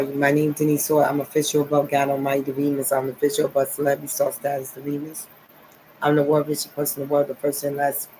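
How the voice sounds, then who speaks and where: A man's voice: mid-range voice, background noise. Rambling man from New Jersey. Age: 40s. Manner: rambling, repetitive, filler "okay" and "nice". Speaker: vlogger. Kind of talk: rambling monologue to camera.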